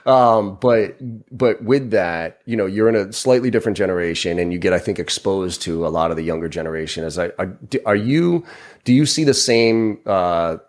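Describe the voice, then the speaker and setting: A man's voice: low voice, normal speed. A man in his forties hosting a casual interview, rambling and swearing freely with self-deprecating banter. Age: 40s. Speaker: podcast host.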